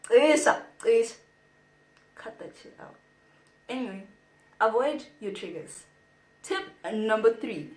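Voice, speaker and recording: A young woman chats through advice in a relaxed, playful way. She talks at an unhurried pace and often glances at her notes. Her voice echoes noticeably in the room. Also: on the high side. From South Africa. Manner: chatty and playful, reads from notes. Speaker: vlogger. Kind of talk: casual advice vlog.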